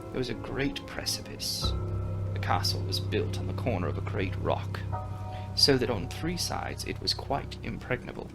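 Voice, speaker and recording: A man giving a theatrical, dramatic audiobook reading of a gothic novel, sometimes dropping into hushed, breathy passages. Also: mid-range voice. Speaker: audiobook reader. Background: music under the reading.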